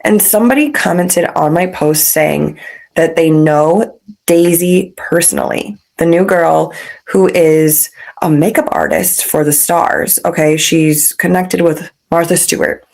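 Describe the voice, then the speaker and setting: A woman's voice: deep voice, vocal fry, darkish tone. A woman hosting a podcast, chatting in a gushing, gossipy way, congested with a cough. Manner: chatty, gushing, fast asides. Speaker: podcast host. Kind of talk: casual gossip commentary.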